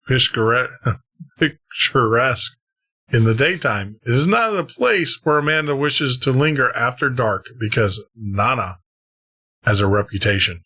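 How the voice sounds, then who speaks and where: A man hosts a late-night podcast in a loose, rambling way, chuckling as he goes. His voice is bright and forceful. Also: mid-range voice. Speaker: podcast host. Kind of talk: casual podcast chat.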